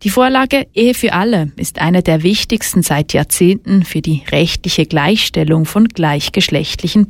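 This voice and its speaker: A woman reading a scripted radio news report in a measured, matter-of-fact way. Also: on the low side, dry recording.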